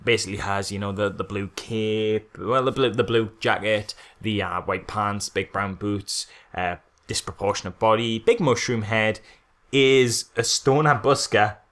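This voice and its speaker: A man's voice: fairly low pitch, steady tone, measured pace, a bit bright. A man co-hosting a casual film critique chat, speaking in an incredulous, emphatic, mocking way. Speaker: podcast co-host.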